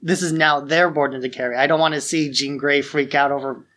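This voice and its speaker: A man's voice: fairly high pitch, fairly even intonation, brisk, fairly bright tone. A man co-hosting a podcast, talking casually and informally about films with a fan's strong opinions. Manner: informal, opinionated fan talk. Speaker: podcast host. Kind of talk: casual film discussion.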